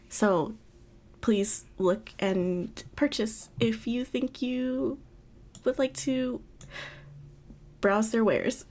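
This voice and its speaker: A young woman chatting casually on a livestream, giggling often and rambling through restarts. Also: mid-range voice. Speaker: streamer. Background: faint background music.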